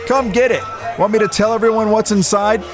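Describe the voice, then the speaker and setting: An actor playing a cool, cocky, laconic hustler in a hardboiled period radio drama. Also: male voice, high voice, fairly even intonation, brisk, over music, natural voice. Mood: defiant. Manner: laconic, wisecracking. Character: wry, cool-headed Prohibition-era male hustler, cornered but cocky.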